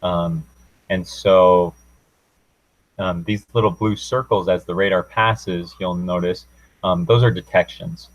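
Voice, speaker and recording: Very low-voiced man, presenting in flat tones. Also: takes it slowly, low-quality recording. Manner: hesitant, informal, unscripted. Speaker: student presenting a class project. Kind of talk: technical presentation, walking through a demo.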